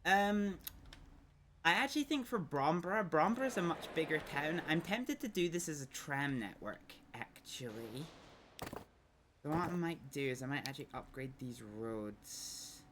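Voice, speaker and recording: A man's voice: a little high, animated, normal speed, background noise. Scottish man rambling through a game stream. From Scotland. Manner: thinks aloud, rambling with many ums. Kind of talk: casual gameplay livestream commentary.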